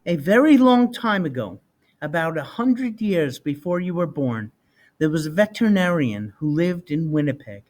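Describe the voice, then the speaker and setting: A man's voice: fairly high pitch, sing-song, normal speed, a little mellow. A man voicing a patient parent who explains things while telling a child a bedtime story. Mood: reassuring.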